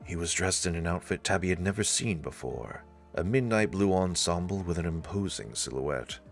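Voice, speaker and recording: A man's voice: deep voice, expressive, moderate pace, soft background music. A man narrating a scripted story as its narrator.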